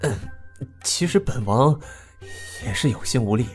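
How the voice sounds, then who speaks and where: An actor playing a bemused young prince in an audio drama, speaking in a hesitant, awkward way. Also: male voice, mid-range voice, lively intonation, unhurried, faint music behind, natural voice.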